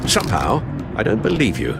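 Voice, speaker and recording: Elderly butler, gravelly, very animated pitch, formal and dry game role, loud score behind. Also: male voice, over loud music, heavily acted. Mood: defiant. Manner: formal, measured wording.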